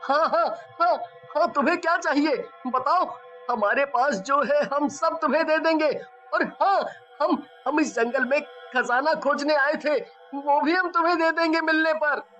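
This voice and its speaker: An actor voicing a timid young traveller, very high. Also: measured pace, pushed and bright, dull audio, faint music behind. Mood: frightened. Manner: nervous, stammering when scared. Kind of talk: cartoon voice acting.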